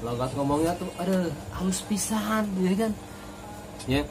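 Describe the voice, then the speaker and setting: A casual vlogger from Bogor with a Sundanese accent, joking and rambling as they talk. The voice sits at a middling pitch. A steady background noise runs under the recording. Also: measured pace. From Bogor, West Java. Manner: joking, rambling, with frequent tag questions. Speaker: channel host. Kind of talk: casual chatty vlog.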